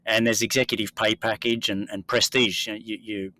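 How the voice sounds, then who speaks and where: A man from Australia, hosting an investing podcast and explaining in a measured conversational way. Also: lowish voice, flat delivery, bright, forceful tone. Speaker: podcast host.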